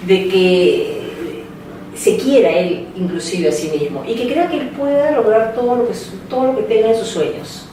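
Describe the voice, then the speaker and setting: A woman's voice: medium pitch, animated, normal speed, a little hiss or hum. Heartfelt mother on a panel. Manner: warm, heartfelt, reflective. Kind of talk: panel discussion. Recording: roomy studio heard from a distance.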